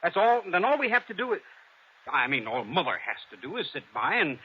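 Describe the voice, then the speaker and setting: Argumentative young heir, cocky, slightly high voice, lively pitch, dull noisy radio drama. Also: male voice, a little fast. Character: cocky young ne'er-do-well adopted son, argumentative. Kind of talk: old-time radio drama acting. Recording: noisy, muffled vintage radio recording.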